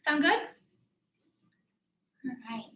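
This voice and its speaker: A woman with a Bosnian-German accent presents a coaching workshop in a calm, reflective way, with plenty of fillers. Her voice sits high. The room around her is echoey. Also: extremely slow. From Bosnia, raised in Germany. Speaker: career and life coach presenting. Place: classroom in a coworking space.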